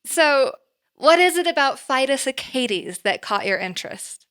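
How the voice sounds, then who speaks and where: A businesslike woman manager in an audio drama. She has a slightly raised pitch and a poised, courteous manner. Her phrasing is formal and measured. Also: animated, fairly bright tone, clean tone. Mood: courteous, intrigued. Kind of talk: naturalistic audio drama acting.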